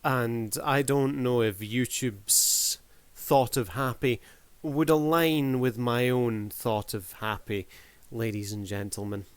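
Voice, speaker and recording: A man's voice: medium pitch, fairly even intonation, slow, clean tone, no echo. Male reviewer-host, clean resonant voice, dry recording, casual commentary with showman's patter.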